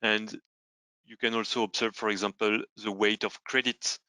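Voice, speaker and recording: A man working as a fund manager, explaining slides in a deliberate, emphatic way. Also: on the low side, little pitch movement, sharp tone, no echo, low-quality recording.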